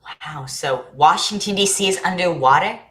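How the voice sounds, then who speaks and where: Woman doing a curious little boy, bright sharp voice, eager n earnest, casual questions, stage play reading. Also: fairly low pitch, moderate pace, natural voice. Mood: curious, impressed. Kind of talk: staged reading of family drama.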